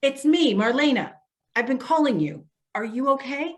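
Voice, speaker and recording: A woman's voice: sits high, expressive, moderate pace. Woman acting a concerned, coaxing social worker. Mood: urgent, worried. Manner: coaxing, earnest. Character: concerned, patient female elder-affairs social worker. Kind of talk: readers theater stage acting.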